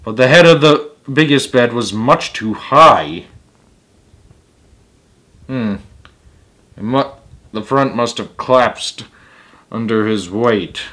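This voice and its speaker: A man's voice: mid-range voice, takes it slowly, pauses often, a bit bright. A man reading a children's story aloud as its host, with emphatic delivery and casual asides.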